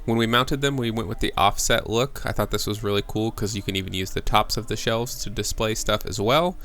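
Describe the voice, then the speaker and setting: A man's voice: lowish voice, brisk, a bit bright, soft background music. A man giving a casual, plain-spoken how-to voice-over, explaining step by step as a maker hosting his own video. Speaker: woodworking maker and host.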